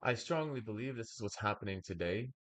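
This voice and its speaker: A young man, raised speaking Bulgarian first, giving a slow, wordy commentary full of pauses as he reacts to a video. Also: fairly low pitch, expressive, fairly bright tone. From United States. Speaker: reaction streamer. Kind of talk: casual commentary.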